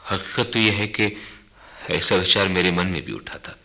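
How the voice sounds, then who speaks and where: An actor playing a dutiful, resigned young husband and son in a radio drama. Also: male voice, low-pitched, fairly even intonation, normal speed, creaky, sharp tone, full character voice.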